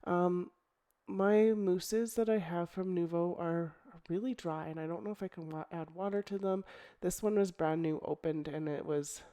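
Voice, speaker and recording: A middle-aged woman with a croaky voice from a cold, chatting casually as she explains a scrapbooking project, with ums and restarts. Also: on the low side, normal speed, few pauses. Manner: relaxed, chatty, thinking aloud with ums and restarts. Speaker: scrapbooking crafter. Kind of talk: casual crafting tutorial voice-over.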